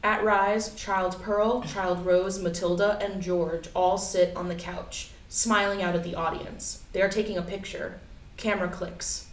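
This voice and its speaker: Female stage-direction reader, mid-pitched, scripted narration, picked up from a distance in an echoey room. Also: steady tone, unhurried. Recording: echoey room, distant mic, faint noise.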